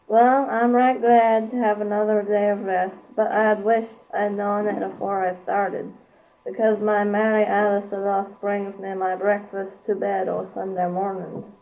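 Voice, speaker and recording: A woman's voice: mid-range voice, flat delivery, unhurried, vocal fry. A young teenage girl playing a good-natured village millhand in an amateur radio play. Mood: agreeable. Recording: dull lo-fi amateur recording.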